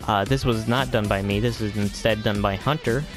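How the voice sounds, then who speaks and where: A man's voice: fairly low pitch, steady tone, moderate pace, over music. A man giving a casual, rambling game tour as video host, hesitant and often trailing off into vague asides.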